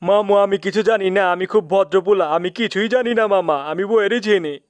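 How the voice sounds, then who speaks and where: A man's voice: high voice, moderate pace, no echo, close to an everyday voice. A man voicing a cheeky, naive young cartoon mouse nephew with a colloquial Bangladeshi accent and a playful, teasing way of talking. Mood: innocent pleading. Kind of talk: comic cartoon dub.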